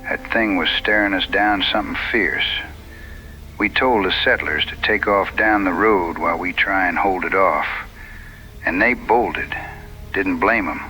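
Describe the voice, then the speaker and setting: A man playing a low, forceful rustic frontiersman. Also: measured pace, soft background music, poor audio. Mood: shaken. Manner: rustic, plain-spoken phrasing. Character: gruff, rustic, rattled frontiersman. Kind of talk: dramatized audio western dialogue.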